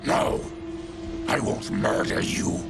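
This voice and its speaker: An actor playing a fierce, honorable male Tarkatan warrior in a video game, speaking slowly and bluntly in a deep gravelly voice. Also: huge pitch swings, over loud music, heavily acted. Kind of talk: video game cutscene acting.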